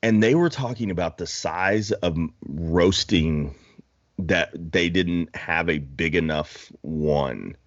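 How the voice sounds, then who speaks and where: A man's voice: deep voice, takes it slowly, no room sound, dull audio. A man chatting casually on a podcast, rambling and self-deprecating with jokes. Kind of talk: casual chat.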